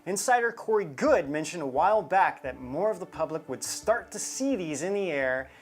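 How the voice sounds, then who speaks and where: A woman's voice: on the low side, lively intonation, normal speed, darkish tone. A woman co-hosting a UFO show, explaining things in conversational commentary. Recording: music behind the voice. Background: background music.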